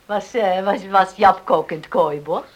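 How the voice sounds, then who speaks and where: An actress playing a firm, no-nonsense farm mother with a brisk, terse manner in a Dutch radio play. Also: mid-range voice, close to an everyday voice. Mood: reproachful.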